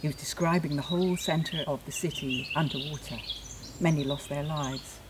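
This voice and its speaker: A woman's voice: sits low, steady tone, moderate pace, natural voice. An actress playing a firm, sombre, matter-of-fact woman in a naturalistic audio play. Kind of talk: naturalistic audio drama acting. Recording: clear outdoor noise with clicks.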